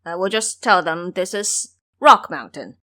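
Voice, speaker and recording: A woman's voice: on the low side, animated, a little slow, bright, forceful tone. A woman voicing a foolish, cowardly male pig disciple in an audio drama, blustering and whining through lies. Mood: scheming.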